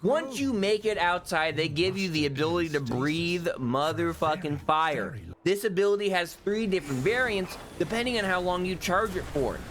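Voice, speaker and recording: A man's voice: sits high, expressive, normal speed, few pauses, a crowd in the background. A young man giving casual, offhand commentary over gameplay, joking and cursing freely. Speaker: gaming YouTuber.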